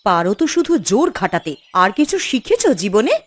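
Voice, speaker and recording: A woman playing a sharp-tongued, discontented young village wife in a cartoon, nagging and defiant. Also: medium pitch, very animated, a little fast. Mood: angry, taunting. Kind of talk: scripted cartoon dialogue.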